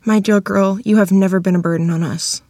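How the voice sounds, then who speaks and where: A woman's voice: medium pitch, steady tone, a little fast, mellow, no room sound, studio quality, close to an everyday voice. A woman playing a strong, emphatic Midwestern wife in her thirties in a table-read drama. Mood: tender. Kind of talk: table-read screenplay acting.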